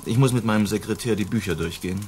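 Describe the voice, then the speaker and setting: A man's voice: lowish voice, fast, darkish tone, slight background noise, natural voice. An actor playing a suave, self-assured nobleman in a radio play, speaking with polite composure. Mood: genial, casual. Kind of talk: German radio play acting.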